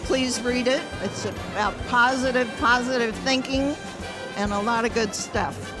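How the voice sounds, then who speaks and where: A woman's voice: fairly high pitch, steady tone, measured pace. A woman chatting casually and enthusiastically as a guest in a red carpet interview. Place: crowded event red carpet. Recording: loud music and crowd noise. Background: loud music, crowd.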